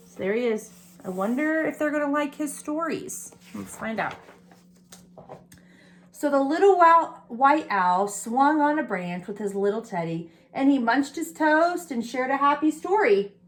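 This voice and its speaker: Woman, higher-pitched, preschool storybook reader who pauses to explain and question. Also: expressive. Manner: explains pictures and asks listeners questions. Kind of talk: read-aloud picture book with chatty asides to young children.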